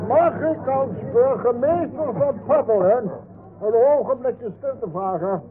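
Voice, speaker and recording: A man's voice: very high-pitched, a little slow. A man acting a pompous, ceremonious small-town mayor in a radio drama. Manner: ceremonious, formal speech-making. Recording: tinny narrow-band radio recording, loud noise.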